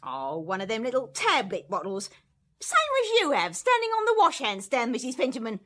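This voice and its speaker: A woman's voice: a little high, wildly swooping pitch, moderate pace, fairly bright tone. A woman playing an indignant, nosy working-class English landlady who bosses and scolds garrulously in a radio drama. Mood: self-righteous, gossipy. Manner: scolding, garrulous, self-righteous.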